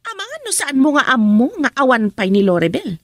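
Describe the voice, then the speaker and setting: A woman's voice: high voice, sing-song, fairly quick, dry recording. A woman acting a jealous, accusing wife in an emotional Ilocano radio drama. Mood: hurt, bitter. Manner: confrontational, emotional. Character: jealous, accusing wife confronting her husband.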